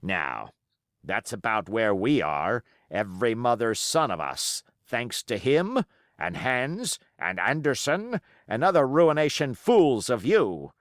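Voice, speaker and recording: A man's voice: mid-range voice, big pitch swings, slow, no echo, clean studio sound. A man voicing a cunning, scornful pirate ringleader in an audiobook, taunting and emphatic with rhetorical questions. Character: Long John Silver, scornful cunning male pirate ringleader.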